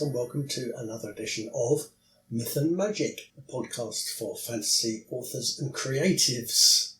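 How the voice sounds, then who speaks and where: A man, hosting a podcast as presenter and interviewer. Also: medium pitch. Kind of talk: scripted podcast introduction and casual interview chat. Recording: roomy echo with faint hum.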